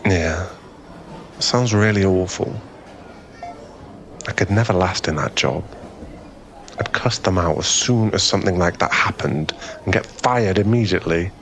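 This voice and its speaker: Guy playing a lowish-voiced young boyfriend type, asmr roleplay, chatty n kind, hesitant, gets flustered easy, bit of background noise. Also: measured pace, pauses often. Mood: sympathetic, reassuring. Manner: hesitant, rambling, self-effacing, soft-hearted. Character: friendly, easily flustered muscular young man, chatty in queue.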